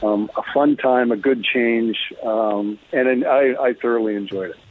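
Male, middle-aged, Canadian, husky tone, relaxed interview storytelling, dull lo-fi audio. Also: mid-range voice, moderate pace, no echo. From Alberta, Canada. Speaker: former pro hockey player, interview guest. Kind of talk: casual reminiscing interview. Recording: dull phone-like lo-fi sound.

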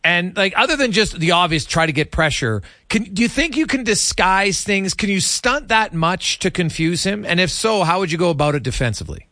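A man with a bright, forceful voice, pitched a little high, hosts a sports interview. He asks his questions conversationally, and the sound is dry and close. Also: animated, a little fast, few pauses, no echo. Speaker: sports radio host, interviewer.

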